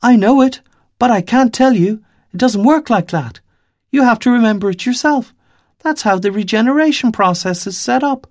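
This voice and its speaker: A man's voice: very high-pitched, moderate pace, darkish tone, no echo, studio quality, voice pushed up. A man voicing a small girl of about ten who speaks earnestly and insistently, in a storybook reading.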